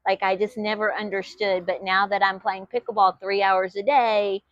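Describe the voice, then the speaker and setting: A woman's voice: medium pitch, little pitch movement, moderate pace, a bit bright, no room sound, highs cut off. Texan woman chatting, laughing easily. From Texas. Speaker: channel co-host. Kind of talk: casual chat.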